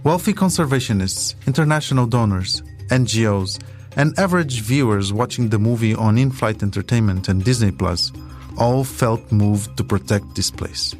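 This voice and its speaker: A man's voice: fairly low pitch, lively intonation, normal speed, faint music behind. A man narrating a scripted documentary podcast as its storyteller.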